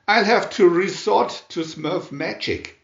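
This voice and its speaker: A man's voice: high-pitched, slow pace, gravelly, reverberant, dull audio, voice pushed up, full character voice. A man voicing a small, determined cartoon dwarf creature from a children's storybook, with hopeful declarations. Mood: eager, determined.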